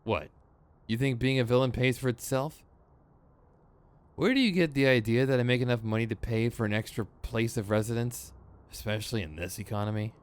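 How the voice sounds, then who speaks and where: A man's voice: medium pitch, brisk, clear, resonant tone, close to an everyday voice. A man playing a theatrical, sardonic supervillain in a scripted ASMR roleplay, exasperated and smug, with mock menace and a grumbling, rambling streak. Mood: exasperated, boastful.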